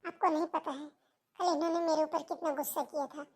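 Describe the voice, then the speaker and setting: A woman's voice: high voice, fairly even intonation, brisk, lightly acted. A woman playing an anxious young married daughter who pleads, in a Hindi cartoon moral story. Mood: anxious, pleading.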